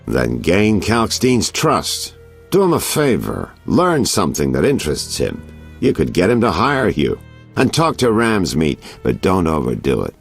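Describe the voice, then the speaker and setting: A man playing a shrewd, patient investigator in a video game, gravelly and given to giving orders. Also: sits low, wildly swooping pitch, a little slow, heavily acted. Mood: shrewd, advising. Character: shrewd, patient male investigator, confiding and directive. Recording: music behind.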